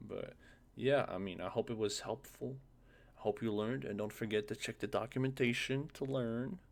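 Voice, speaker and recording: A man's voice: mid-range voice, normal speed, creaky. A man presenting a casual screen-recorded game modding tutorial, informally thinking aloud with some hesitant repetition. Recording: faint noise, keyboard clicks.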